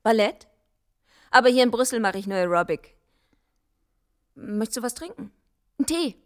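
A woman's voice: on the high side, animated, moderate pace, close to an everyday voice. An actress playing a friendly, casual young woman who welcomes a guest in. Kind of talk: TV drama acting.

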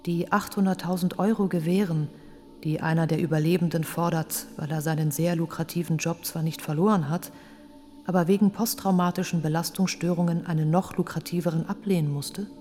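An actress in a radio play as a grave narrating voice, reciting in a measured, clipped way. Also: female voice, fairly low pitch, fairly even intonation, dark tone, close to an everyday voice. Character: grave female voice listing victims' losses and claims. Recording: long reverb, music underneath.

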